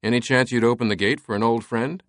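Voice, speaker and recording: A man's voice: medium pitch, steady tone, quick pace, no room sound, studio quality, voice pushed up, close to an everyday voice. A man voicing an earnest, courteous scholar who pleads politely and urgently in an audiobook. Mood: courteous, coaxing.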